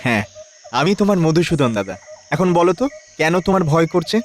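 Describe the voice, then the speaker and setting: A man playing a kindly, protective divine elder brother in a children's cartoon, reassuring and gently instructive. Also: fairly high pitch, lively intonation, fairly quick, noisy background, natural voice.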